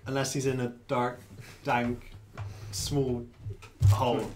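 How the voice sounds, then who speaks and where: A man's voice: flat delivery, slow. A man chatting casually and jokingly as a player in a tabletop game. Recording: loud thumping music-like rumble behind.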